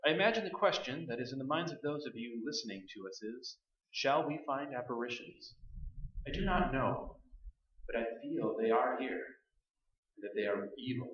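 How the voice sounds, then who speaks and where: A solemn doctor who hunts apparitions , played by a man in a staged radio play. His mid-range voice is formal, deliberate and pompous. It is heard from a distance in a dull, roomy recording. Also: medium pitch, lively intonation, a bit bright, natural voice. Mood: grave. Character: solemn, pompous male doctor of psychic research.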